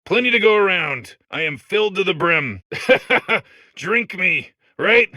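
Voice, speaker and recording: A man's voice: sits high, expressive, unhurried, bright, forceful tone, no room sound, clean studio sound, heavily acted. A man voicing a cheerful, chatty robot core full of sewage in a comedic game, eager and unashamed. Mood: proud, eager. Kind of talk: comedic video game character monologue.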